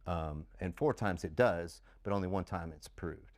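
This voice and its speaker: A middle-aged American man giving unscripted interview answers in a halting, conversational way, as a former air traffic controller. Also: low voice, dry recording, studio quality.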